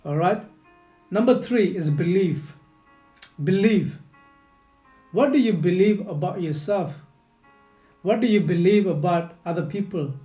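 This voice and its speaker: A man's voice: high voice, measured pace, long pauses. A man whose first language is not English, giving an earnest motivational leadership lesson and speaking straight to the listener with lots of repetition. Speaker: leadership coach. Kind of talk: motivational teaching talk. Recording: echoey room with soft guitar music.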